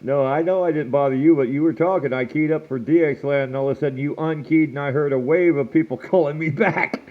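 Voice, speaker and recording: Relaxed CB caller, man from Washington state, thin tinny radio sound. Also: a little high, quick pace. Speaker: CB radio operator. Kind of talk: casual CB radio calls. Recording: thin phone-like radio audio with faint static.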